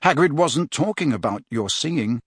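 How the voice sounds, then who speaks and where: A man voicing a determined teenage wizard boy who speaks in a quiet, steady, pressing way. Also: mid-range voice, normal speed, slightly rough, no echo, studio quality. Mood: quiet, grim.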